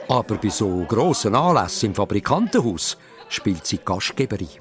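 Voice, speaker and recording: A man with a Swiss German accent narrating a children's radio play in an animated storytelling manner. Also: on the low side, normal speed, soft background music.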